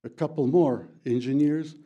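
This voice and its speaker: An older man from the former USSR, tongue Plattdeutsch, speaking English in halting public comment at a county board meeting, full of fillers and broken grammar. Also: on the high side, steady tone, dark tone, lo-fi sound. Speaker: resident giving public comment.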